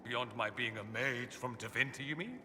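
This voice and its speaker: An actor playing a vain, sardonic young aristocratic mage in a video game, with a suave, theatrical and wry delivery. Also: male voice, medium pitch, normal speed, bright, forceful tone, reverberant, in a large hall, slight background noise. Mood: theatrical, self-mocking. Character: witty, vain aristocratic male mage, sardonic rebel exile. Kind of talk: video game dialogue acting.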